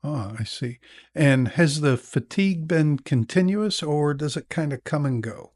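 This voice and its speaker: A man playing a calm, attentive neurologist in an acted exam-practice consultation, explaining in a measured way with small hesitations. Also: on the high side, dry recording, clean studio sound. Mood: attentive, sympathetic. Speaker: narrator and actor in a listening exam practice.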